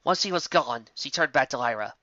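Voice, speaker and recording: A man narrates a fantasy story in a bright, forward voice. He reads expressively, and the recording is very dry and close. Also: medium pitch, animated, brisk, no room sound, lo-fi sound. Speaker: fan fiction reader.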